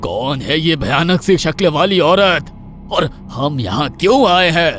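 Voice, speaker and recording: A man's voice: a little high, expressive, a little slow, a bit bright, faint music behind, pitched above the performer's own voice. A man voicing a wealthy, greedy, gullible husband in a horror story, dramatic and credulous. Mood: startled, doubtful. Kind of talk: Hindi horror story character acting.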